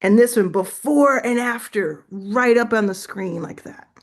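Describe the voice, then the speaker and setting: A woman's voice: a little high, a little slow. Woman, livestream host, casual coaching, chatty, encouraging. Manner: chatty, encouraging, thinks aloud with fillers. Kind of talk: casual live coaching chat.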